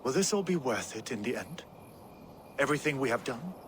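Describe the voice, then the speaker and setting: An actor playing a solemn, battle-weary Danish warlord in a video game, with grave, poetic phrasing. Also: male voice, mid-range voice, animated, a little fast, background noise, close to an everyday voice. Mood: brooding. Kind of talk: video game dramatic acting.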